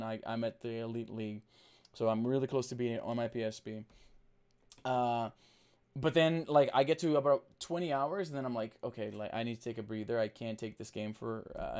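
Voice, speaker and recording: A man from Brazil whose first language is Portuguese, chatting casually on a livestream and reading out chat, rambling with restarts and fillers while talking deliberately slower. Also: medium pitch, darkish tone, clean tone. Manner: trained to talk slower on camera.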